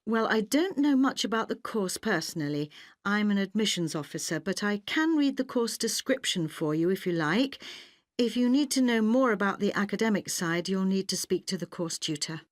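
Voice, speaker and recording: A woman acting a polite, efficient university admissions officer in a scripted listening-test dialogue, speaking clearly. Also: medium pitch, expressive, moderate pace, dry recording, lightly acted. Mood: polite, businesslike. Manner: polite, clearly enunciated, businesslike.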